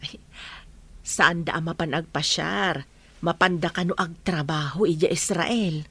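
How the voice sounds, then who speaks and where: A woman's voice: lowish voice, a little slow, bright, forceful tone, husky, heavily acted. A woman with an Ilocano accent playing a practical, supportive middle-aged farmer's wife in a radio drama. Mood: matter-of-fact.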